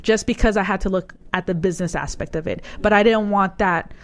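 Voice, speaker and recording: A woman's voice: medium pitch, a little fast. A woman in her forties, hosting a podcast, chatting casually and telling stories with lots of "like" and restarts. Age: 40s. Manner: chatty, animated storytelling with many likes and restarts. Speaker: podcast host. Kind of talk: casual chat.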